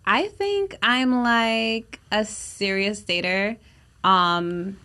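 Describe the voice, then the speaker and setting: A young woman from the United States speaks as a podcast guest in casual conversation. Her voice sits at an average pitch with a distinctly bright, forward tone. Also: drawn-out words. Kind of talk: casual chat.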